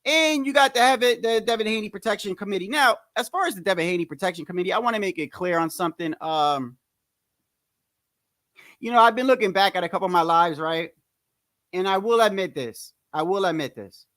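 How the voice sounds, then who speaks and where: A man with a New Jersey accent and a notably high, youthful-sounding voice chats on a livestream. His talk is loose and slangy, circling back and repeating phrases. The sound is dry and close, with almost no room around it. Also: fairly quick, a bit bright, no room sound. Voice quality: high-pitched voice. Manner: rambling, slangy, repeats himself. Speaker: boxing commentary livestream host. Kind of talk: casual livestream chat.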